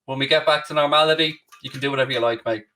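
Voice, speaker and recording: A man's voice: medium pitch, steady tone, racing, sharp tone, distant mic. Candid Dublin Irish man, a bit off-mic. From Ireland. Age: late 30s. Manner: candid, rambling, self-deprecating. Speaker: podcast host. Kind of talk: casual chat.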